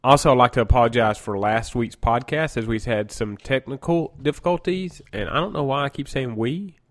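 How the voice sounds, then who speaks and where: A man's voice: mid-range voice, brisk, fairly bright tone. A man with a cold and a stuffy nose, hosting a gaming podcast in a chatty, rambling way and often correcting himself. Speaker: podcast host. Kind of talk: casual gaming podcast monologue.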